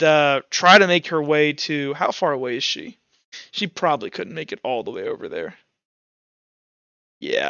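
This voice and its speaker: A man's voice: a little high, bright, forceful tone, clear, resonant tone, no echo, dull audio. A young man running a tabletop roleplaying game as game master, talking informally and thinking aloud as he narrates.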